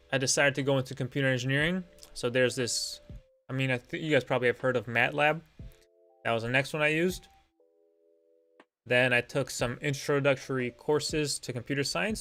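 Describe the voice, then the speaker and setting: A man's voice: mid-range voice, moderate pace, sharp tone, faint music behind. A young man whose first language is Lithuanian, hosting a casual live-stream Q and A, rambling and self-deprecating with many fillers.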